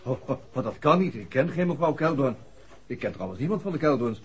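An actor playing an evasive doctor's secretary in a 1960s radio drama, hesitant and uneasy behind a forced breeziness. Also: male voice, medium pitch, big pitch swings, brisk, lightly acted. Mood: flustered, evasive. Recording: dull, muffled 1960s radio recording.

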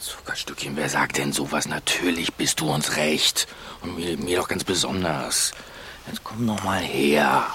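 Bright, forceful, irritable middle-aged husband. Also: male voice, rumbling low, a little slow, a little hiss or hum, full character voice. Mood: coaxing. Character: dismissive, irritable husband and needling brother in midlife. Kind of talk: naturalistic German radio drama acting.

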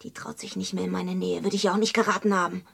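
An actress playing a hostile, hard-bitten female wrestler in a radio drama, husky and curt, with a sneering manner. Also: lowish voice, level tone, quick pace, heavily acted. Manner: curt, sneering, foul-mouthed.

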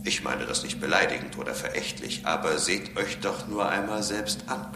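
An actor playing a self-assured, condescending robot philosopher in a radio drama, speaking in formal, pedantic statements. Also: male voice, deep voice, animated, normal speed, gravelly, noisy background. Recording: echoing sound, music behind.

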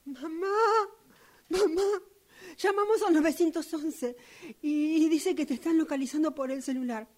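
A woman's voice: sits high, moderate pace, a little mellow, lightly acted. A woman playing a frantic, bossy grown daughter with an Argentine accent in an amateur radio drama, urgent and demanding. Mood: anxious, reassuring.

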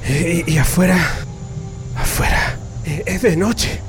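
An actor playing a frightened, protective Chilean railway worker held prisoner, hushing and stammering in a radio drama. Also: male voice, fairly high pitch, slow pace, over music. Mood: anxious, hushing.

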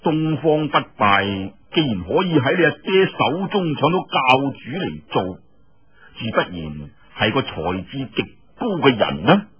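A man voicing a young swordsman hero in a story, curious, familiar and colloquial, with a husky voice and a Cantonese delivery. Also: medium pitch, sing-song, measured pace, full character voice. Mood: musing, curious. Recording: muffled, dull lo-fi audio.

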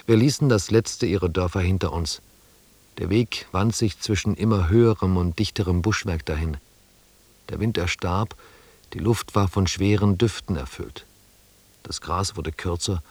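A sober narrator recounting an expedition in a radio drama. His voice is low, in a dry studio sound. The delivery is literary, measured and descriptive. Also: vocal fry, husky, no room sound, heavily acted.

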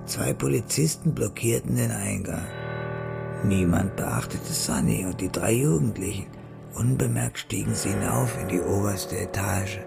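A man narrating a scripted crime radio drama in a gravelly voice. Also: fairly low pitch, measured pace, loud music behind the voice.

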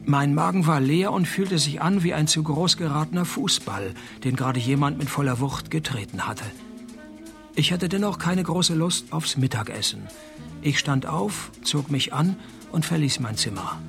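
A man playing a wisecracking, cocky private detective in a radio play, speaking with dry, deadpan irony. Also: a little high, normal speed, gravelly, music in the background. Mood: deadpan narrating. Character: wisecracking, cocky male private detective posing as night porter. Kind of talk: radio play dialogue and first-person detective narration.

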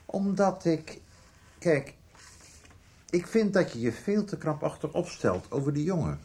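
Earnest, reproachful man, radio soap, animated pitch. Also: unhurried. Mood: reserved, uneasy. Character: earnest, reproachful brother confronting his stubborn sibling. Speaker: radio drama actor. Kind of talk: Dutch radio soap acting.

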